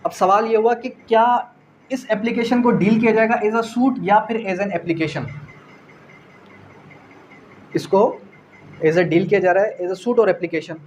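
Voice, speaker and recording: A man's voice: sits high, fairly even intonation, unhurried, lo-fi sound, slight background noise. A man with a Pakistani accent giving an explanatory law lecture, didactic, posing questions and answering them, mixing in English terms. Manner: code-switching between Urdu and English legal terms. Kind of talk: explanatory exam-prep lecture.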